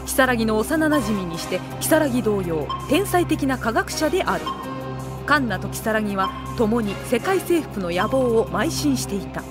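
A woman playing the dry, wry narrator of an anime comedy audio drama, reading scripted narration in a measured deadpan. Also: on the high side, over music.

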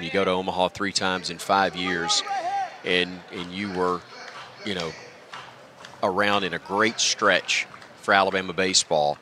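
A middle-aged man with a very deep, forceful voice talks in a conversational booth interview. He is modest and reflective, poking fun at himself. Faint outdoor ballpark ambience sits behind his headset mic. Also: a little hiss or hum. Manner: self-deprecating, joking, reflective. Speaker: guest, radio play-by-play announcer. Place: stadium press booth at a baseball game. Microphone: broadcast headset.

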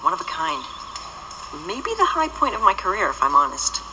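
An actress playing a mercenary monster hunter who speaks in a cool, laconic, mocking way. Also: female voice, fairly low pitch, sing-song, moderate pace. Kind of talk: radio drama acting. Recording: noisy radio drama track.

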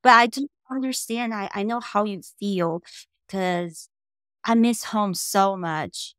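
Animated young woman. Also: medium pitch, slow pace, dry recording, studio quality. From Taiwan. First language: Mandarin. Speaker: podcast co-host. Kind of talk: casual chat. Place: small bedroom at home. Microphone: laptop webcam on a video call.

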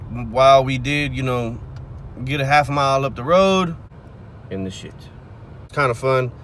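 A young man casually vlogging while driving, joking and swearing as he talks to a phone camera held close. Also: mid-range voice. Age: young adult. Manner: joking, profane, teasing. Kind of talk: casual road trip vlog. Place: inside a car on the road. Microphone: phone camera held close in the car. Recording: loud road noise in the car.

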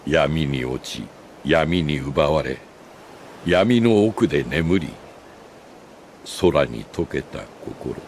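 An older man acting a solemn, remorseful old sage in a Japanese video game cutscene, speaking gravely and with deliberate pauses. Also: a bass voice, expressive, slight background noise, full character voice. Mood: solemn, earnest. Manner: grave, measured, philosophical delivery with deliberate pauses.

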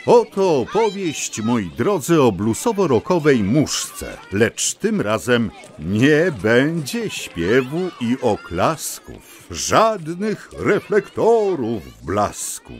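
A man narrating a scripted children's story in a theatrical, whimsical, mock-lofty storyteller's manner. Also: medium pitch.

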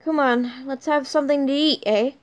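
A woman's voice: high voice, moderate pace, voice pushed up, lightly acted. A woman voicing a kindly woman character in a fan story read aloud. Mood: forgiving. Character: Mercy, kindly, forgiving woman medic.

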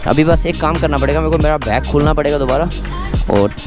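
A man's voice: a little high, fast, music in the background. A man chatting casually to camera as a travel vlogger, often repeating his phrases. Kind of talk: casual vlog chat to camera.

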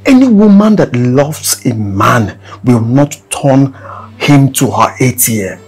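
An actor playing a blunt young friend lecturing in Nigerian Pidgin, with a Nigerian accent. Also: male voice, medium pitch, big pitch swings, slow pace, a little mellow, husky, soft background music, exaggerated voice. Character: blunt young male friend giving hard advice.

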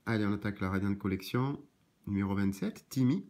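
A slightly hoarse man, off camera, rattling off card names while opening trading card packs, with chatty asides to viewers. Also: on the low side, a little fast, darkish tone. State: slightly hoarse, clearing throat. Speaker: card shop owner and YouTuber. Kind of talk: casual card-opening vlog. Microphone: camera framing hands and cards, speaker unseen.

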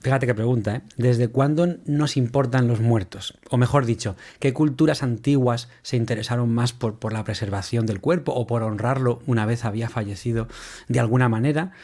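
A man's voice: medium pitch, moderate pace, darkish tone. A man in his thirties hosting an interview over a video call, asking long, conversational questions. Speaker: podcast host.

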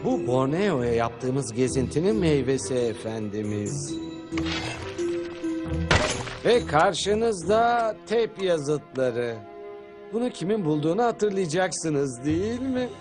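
High-voiced fawning cartoon henchman. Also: big pitch swings, slow pace. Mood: fawning, boastful. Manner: obsequious, pleading. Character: fawning, wheedling cowardly villain's henchman. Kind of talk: animated cartoon dubbing. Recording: loud music behind.

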